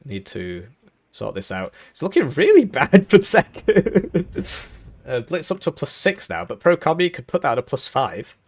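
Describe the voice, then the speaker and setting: A man's voice: a little high, big pitch swings, normal speed, creaky, a little mellow. A young man hosting a live game tournament stream, talking casually with jokes and chuckles while commentating the match. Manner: rambling, joking, chuckling between remarks. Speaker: tournament host and caster.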